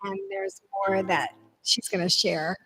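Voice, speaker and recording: A woman's voice: medium pitch, fairly even intonation, measured pace, bright, forceful tone, rough recording. A woman leading a holiday service for a group, chatty and upbeat, with many fillers and restarts. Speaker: service leader.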